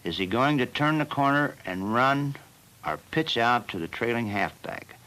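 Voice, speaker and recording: A man narrating a football training film as the team's coach, in a measured and plain instructional manner. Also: medium pitch, lively intonation, bright, forceful tone, rough recording.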